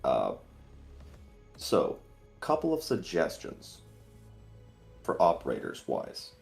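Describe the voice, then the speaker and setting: A man chatting over voice chat on a stream, easygoing and self-mocking. His voice is deep but very animated in pitch. Faint music runs underneath. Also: slow, gravelly. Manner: laid-back, joking, self-deprecating. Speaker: guest on stream, calculus tutor. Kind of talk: casual voice chat.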